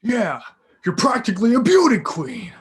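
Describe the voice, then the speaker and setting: A man's voice: extremely high pitch, sing-song, normal speed. A man playing an exasperated, sarcastic big-brother plumber in an amateur script reading.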